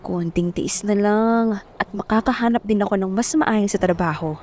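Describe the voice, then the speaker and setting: A woman's voice: medium pitch, normal speed, darkish tone, faint music behind, lightly acted. A young woman playing a shy, hardworking farm girl who narrates her own story in a radio drama, polite and earnest. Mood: earnest, reflective. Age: young adult. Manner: polite, hesitant when addressing others. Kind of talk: first-person radio drama narration and dialogue.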